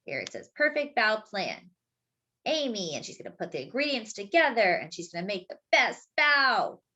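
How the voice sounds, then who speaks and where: A woman's voice: medium pitch, sing-song, normal speed, sharp tone, lo-fi sound. A woman hosting story time, reading a picture book expressively for young children, stretching key words and adding simple explanatory asides.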